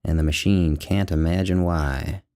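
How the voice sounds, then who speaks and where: A man with a deep voice narrates literary fiction. He reads as the author of the piece, in a measured and deliberate storytelling style. Certain phrases are drawn out for weight. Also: fairly even intonation, dark tone. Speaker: author narrating his own short story.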